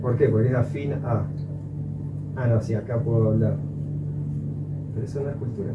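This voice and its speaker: A man's voice: mid-range voice, fairly even intonation, moderate pace, heavy background noise. Rambling Argentine man in an echoey room. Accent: Argentine Spanish. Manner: reflective, rambling, restarts often. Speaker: coffee educator. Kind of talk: casual conversation. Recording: echoey room, far from mic, dull sound, loud music.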